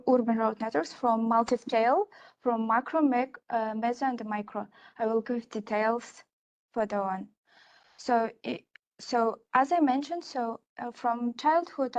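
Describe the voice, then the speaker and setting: Young woman, fairly high voice, halting academic presentation, lots of fillers. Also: darkish tone. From Kazakhstan. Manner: hesitant, many fillers and restarts. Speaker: research assistant presenting her research. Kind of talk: academic conference talk.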